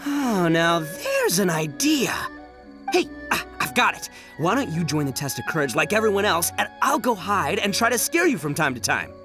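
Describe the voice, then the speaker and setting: An actor voicing a brash, goofy young male oni gang boss in a video game, boastful and exuberant. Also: high voice. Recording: background music.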